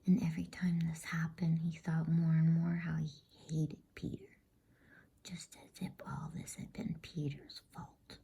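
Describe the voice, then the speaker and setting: A woman reading a bedtime story in a soft, unhurried ASMR whisper. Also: sits low, flat delivery. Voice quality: breathy, unvoiced whisper. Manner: soft, slow, unhurried, soothing delivery.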